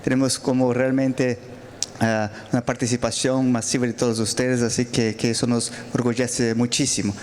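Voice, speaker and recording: A man's voice: medium pitch, flat delivery, moderate pace, darkish tone. A man from Brazil with a Brazilian Portuguese accent speaking Spanish, giving closing remarks at a conference panel with many hesitant fillers and restarts. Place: large auditorium.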